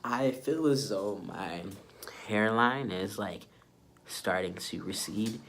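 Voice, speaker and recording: A young man with a low-pitched voice talks casually to viewers in a personal vlog. He sounds sick and stuffed up, with a nasal congestion and a subdued energy. There is noticeable noise in the recording. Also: takes it slowly, a bit bright. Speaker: vlogger. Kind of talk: casual update vlog talk to camera.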